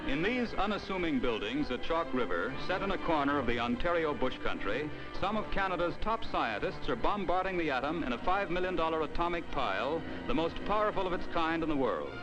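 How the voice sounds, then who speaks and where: A man's voice: fairly high pitch, sing-song, a little fast, few pauses. A man reading scripted 1950s newsreel narration in an emphatic, declamatory way. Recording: dull old newsreel film soundtrack. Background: music.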